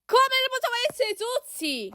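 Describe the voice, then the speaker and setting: A woman's voice: extremely high pitch, very animated, normal speed, bright, forceful tone, no echo, studio quality, faint music behind. A woman doing an amateur cartoon fan dub, acting out several characters in an exaggerated, exclamatory way.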